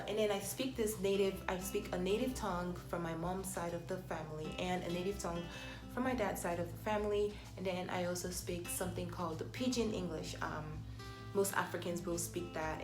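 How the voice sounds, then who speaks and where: A woman's voice: lowish voice, a little fast, music in the background. Nigerian woman in an echoey room. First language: Igbo. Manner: chatty, self-conscious, many restarts and fillers. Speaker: vlogger. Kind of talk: casual Q&A vlog.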